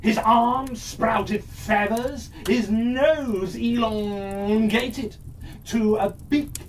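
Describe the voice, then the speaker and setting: Fairytale narrator, dramatic and deliberate, frequent pauses, slightly distant mic with faint background noise. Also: medium pitch. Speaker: storyteller.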